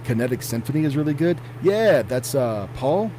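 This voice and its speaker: A man who narrates horror stories, chatting casually on a live stream in a laid-back, even tone and reading chat comments aloud. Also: medium pitch, moderate pace. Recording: noisy background hum.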